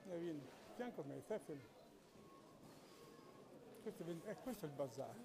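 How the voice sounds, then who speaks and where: A man with a fairly high voice talks casually to his camera while out on a trip. He chats with locals and slowly repeats words back to them. A busy crowd hums all around him outdoors. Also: expressive, vocal fry. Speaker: travel vlogger. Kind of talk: casual travel vlog commentary. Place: outdoor bazaar and mosque courtyard.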